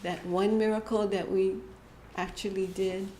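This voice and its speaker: Gentle unhurried woman in an echoey hall. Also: medium pitch, level tone. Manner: gentle, halting, often laughs at herself. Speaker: church speaker. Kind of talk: short inspirational church message. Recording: echoey hall with faint room noise.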